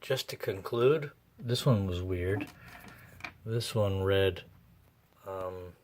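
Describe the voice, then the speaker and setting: A man narrating his own hands-on repair work casually and unscripted, thinking aloud in a halting way. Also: sits low, darkish tone, a little hiss or hum. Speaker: host of a radio repair vlog.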